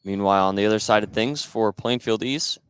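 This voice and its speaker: A man's voice: on the low side, fairly quick, clean tone, dry recording. A man working as a play-by-play sportscaster, giving live basketball commentary. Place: indoor high school gym.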